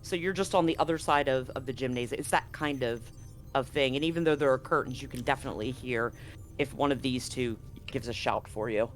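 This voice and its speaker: A man's voice: medium pitch, brisk. A man narrating a live tabletop game as game master, conversational and explanatory. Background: background music.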